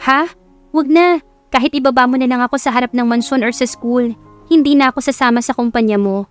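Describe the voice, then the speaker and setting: A woman's voice: high-pitched, brisk, faint music behind, pitched above the performer's own voice, natural voice. A woman voicing a shy, easily flustered young woman tutor in a romance audiobook. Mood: protesting. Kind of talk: romance audiobook character acting.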